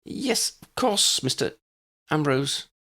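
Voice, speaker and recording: Man putting on a high, fretful neighbour lady. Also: sing-song, slow, bright, forceful tone, heavily acted. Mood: faltering. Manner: fretful, faltering, polite. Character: anxious, faltering elderly neighbour woman, polite and fretful. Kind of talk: audiobook character voice.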